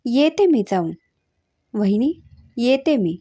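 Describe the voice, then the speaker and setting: A woman's voice: on the high side, sing-song, slow pace, warm tone, exaggerated voice. A woman voicing an outspoken, modern young aunt in a story, direct and mixing in English words. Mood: annoyed. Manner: firm, direct, mixes English words into her talk.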